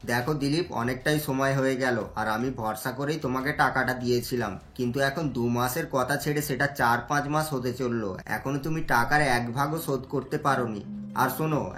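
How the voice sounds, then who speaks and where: A woman plays a hard-hearted village landlord in a cartoon story, his voice pushed very low and heavy. He talks with cold, even menace, as if the debtor's trouble means nothing to him. Faint music runs under the scene. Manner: cold, threatening, dismissive. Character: stern older male village landlord and moneylender.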